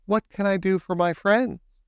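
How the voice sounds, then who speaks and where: Storybook mouse queen, read by a man: small, squeaky, helpful, pitched up, crisp. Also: steady tone, a little slow, no room sound, clean studio sound. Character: squeaky little queen of the field mice.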